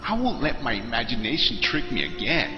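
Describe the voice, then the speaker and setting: Man putting on an excitable little girl, raised pitch. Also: fairly quick, reverberant, big-room sound, muffled recording, over music. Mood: self-soothing. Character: seven-year-old girl, excitable and easily frightened.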